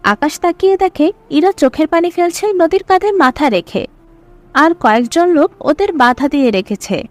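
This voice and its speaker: A woman's voice: high voice, animated, normal speed, dry recording. A woman reading scripted story narration as the narrator.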